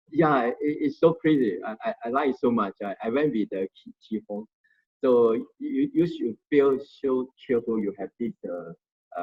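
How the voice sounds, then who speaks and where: A man giving an informal Buddhist study talk, speaking haltingly and often restarting as he searches for words. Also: medium pitch, muffled recording. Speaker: Dharma sharing volunteer presenter.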